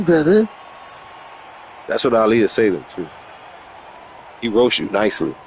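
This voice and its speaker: A man vlogging casually on a dog walk outdoors, animated and slangy, often breaking into laughter. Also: medium pitch, slight background noise. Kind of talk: casual vlog chat.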